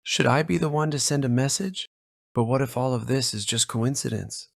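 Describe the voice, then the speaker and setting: An actor playing a lovelorn office worker around thirty in a manga dub, brooding and tentative, with a gravelly voice. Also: male voice, mid-range voice, normal speed, dry recording, studio quality. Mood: hesitant, wondering. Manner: brooding, tentative self-questioning. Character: lovelorn, hesitant office worker in his late twenties. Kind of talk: anime-style dub inner monologue.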